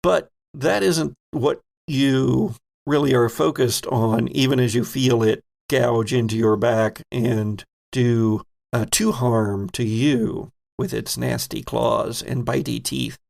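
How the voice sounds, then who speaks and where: Man, game master, gravelly voice, unhurried pace, vivid horror narration. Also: mid-range voice, fairly even intonation, a little mellow. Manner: vivid descriptive storytelling, addressing players directly.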